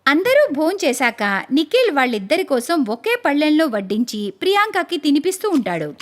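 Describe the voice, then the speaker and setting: A woman narrating a cartoon moral story in a lively storytelling manner. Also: sits high, brisk.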